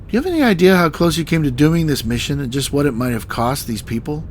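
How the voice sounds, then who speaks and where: An actor playing a stern, weary starship captain in a science fiction audio drama, speaking in a measured, articulate way. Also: male voice, fairly high pitch, lively intonation, close to an everyday voice. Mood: stern, reproving. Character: stern, weary male starship captain, dryly self-doubting. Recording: faint background ambience noise.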